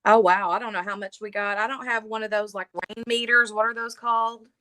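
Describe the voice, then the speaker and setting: Woman, livestream host, chatty, bright clear tone, dry close sound. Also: on the high side, steady tone, fairly quick, no echo. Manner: chatty, laughs often, reads viewer comments aloud. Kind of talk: casual live chat.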